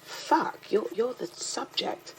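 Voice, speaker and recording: A woman's voice: fairly high pitch, expressive, slow pace, creaky, darkish tone, slight background noise, heavily acted, low-quality recording. A woman acting in an audio drama as someone flustered and apologetic, stammering over her words.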